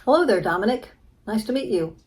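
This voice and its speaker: A woman's voice: mid-range voice, lively intonation, brisk, faint music behind. A woman giving casual, short replies as a player in an interactive audio story.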